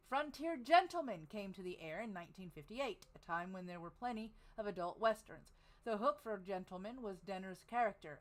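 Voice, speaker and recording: A woman's voice: mid-range voice, poor audio. A woman podcast host reading a biography aloud in a casual way, laughing off her own slips.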